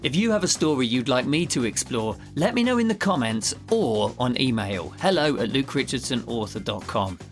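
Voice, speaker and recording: A man's voice: mid-range voice, sing-song, normal speed, hardly any pauses, soft background music. A man hosting a podcast, speaking in an enthusiastic, encouraging manner. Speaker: podcast host. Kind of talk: interviewing and scripted sign-off.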